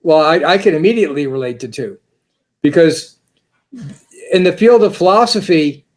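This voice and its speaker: A man's voice: a little high, lively intonation, moderate pace. A man speaking as a guest on a talk show, rambling with many repeated words and restarts. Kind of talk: casual interview chat.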